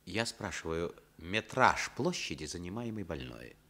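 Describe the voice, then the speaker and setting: An actor playing a haughty, imperious psychiatrist in a Soviet radio play, with a pompous, commanding manner. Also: male voice, sits low, big pitch swings, a little slow, bright, forceful tone, heavily acted. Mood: cool, authoritative. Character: haughty, imperious male visiting psychiatrist, grandly indignant.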